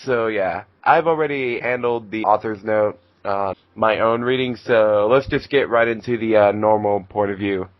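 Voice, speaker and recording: A man's voice: fairly low pitch, moderate pace, hardly any pauses, no echo, highs cut off. A man reading fan fiction aloud as narrator, in a casual amateur style.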